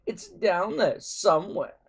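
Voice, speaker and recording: A woman voicing a treacherous, greedy male villain in a storybook, with drawn-out, melodramatic pleading. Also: lowish voice, sing-song, creaky, breathy edge, voice pushed up, exaggerated voice. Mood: urgent pleading.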